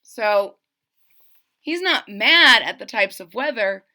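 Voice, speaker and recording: A woman's voice: on the high side, expressive, slow, sharp tone. A woman reading a children's picture book aloud expressively, with explanatory asides as a storyteller.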